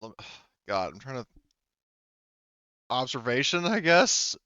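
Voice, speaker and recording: A man's voice: mid-range voice, very animated, slow, sharp tone, clear, resonant tone, no room sound. A man acting as game master, narrating and ruling casually while thinking aloud, on slightly dull audio.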